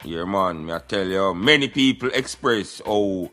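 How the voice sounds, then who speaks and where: A Jamaican man hosts a radio show in an animated, bright and forceful voice. He talks casually in patois, peppering his monologue with affirmations, while music plays behind him. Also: deep voice, a little slow. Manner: patois, repeated "yeah man" interjections.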